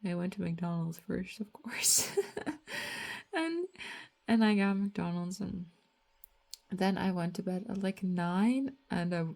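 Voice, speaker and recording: Tired, slow-talking Latvian woman. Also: on the low side, lively intonation, creaky. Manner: slow, halting, trailing off with frequent fillers and soft laughs. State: tired after a night shift. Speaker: vlogger. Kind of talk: rambling casual monologue.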